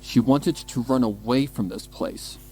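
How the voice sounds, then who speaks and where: A man's voice: mid-range voice, a little slow, warm tone, faint music behind, poor audio. A man reading scripted, dramatic story narration. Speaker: fan fiction narrator.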